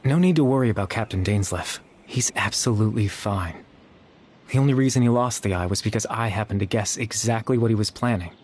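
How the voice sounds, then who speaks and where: A man voicing a young man who exists only in memory, calm and apologetic, speaking in a measured, quiet, explanatory way. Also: lowish voice, a little hiss or hum, lightly acted. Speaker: game character. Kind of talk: video game voice acting.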